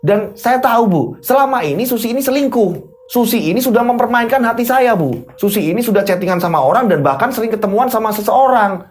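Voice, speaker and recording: An angry, curt husband played by a male storyteller in a dramatic spoken story, with music underneath. His voice is raised high and pushed loud as he scolds and accuses. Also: animated, normal speed. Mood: accusing. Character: Farid, irritable accusing husband just home.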